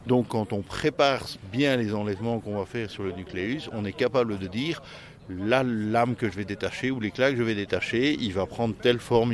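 Low-voiced man explaining flint knapping. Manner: careful, technical, restarts mid-phrase. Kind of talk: interview answers, explanatory.

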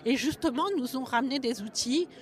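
A woman's voice: sits high, brisk. A woman explaining a community project in an interview. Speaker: exhibition project organizer interviewee.

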